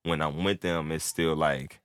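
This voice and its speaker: Casual guest: young man, very low voice, bright and dry, rambling talk full of filler. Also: steady tone, unhurried, no room sound. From born in Turkey, raised in the United States. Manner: loose, rambling, heavy filler, acts out quoted friends. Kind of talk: casual chat.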